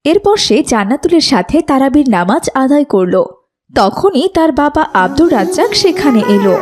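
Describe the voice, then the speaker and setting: A woman's voice: high-pitched, very animated, normal speed. A woman narrating a scripted moral story for children.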